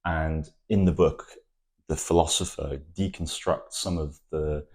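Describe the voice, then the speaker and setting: Very deep-voiced Welsh-born man, reflective. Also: steady tone, unhurried, a little mellow. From Wales. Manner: thoughtful, poetic, with frequent ums and restarts. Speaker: architect. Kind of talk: reflective interview answers.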